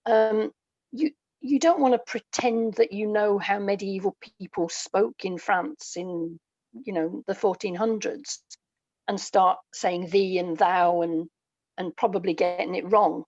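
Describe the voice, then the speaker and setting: A woman's voice: mid-range voice, fairly even intonation, measured pace, a little mellow, dry recording. A woman being interviewed as a guest, explaining her writing craft thoughtfully in a conversational way, on a lo-fi recording. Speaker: interview guest, audio drama writer. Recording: dull, lo-fi, close dry sound.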